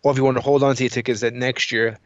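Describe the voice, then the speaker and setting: A man's voice: medium pitch, level tone, rapid-fire, dry recording, lo-fi sound. A man co-hosting a podcast, chatting casually about his opinions in a blunt, emphatic, profane way. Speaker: podcast co-host.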